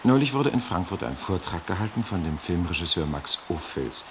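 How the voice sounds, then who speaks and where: A man's voice: low voice, big pitch swings, moderate pace, a little hiss or hum. A man narrating a 1950s German radio play as a wry, casual commentator. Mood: wry, measured.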